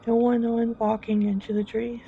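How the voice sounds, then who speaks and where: A woman narrating a scripted horror story in a slow, hushed, suspenseful manner with long deliberate pauses. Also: a little high, level tone. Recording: dull lo-fi, music bed behind. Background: background music.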